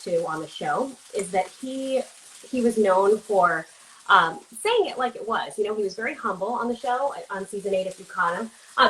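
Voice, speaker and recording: A woman's voice: mid-range voice, fairly bright tone. A woman hosting a casual interview show while cooking, chatting informally to her guest and viewers. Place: kitchen, cooking along on a livestream. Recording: noisy video call with kitchen sizzle. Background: food sizzling, hiss.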